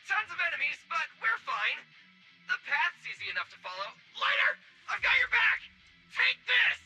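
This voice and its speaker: A man playing a hot-headed, gallant fighter in a video game, forceful and dramatic, full of exclamations. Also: extremely high pitch, huge pitch swings, normal speed, vocal fry, soft background music, full character voice. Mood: urgent, eager.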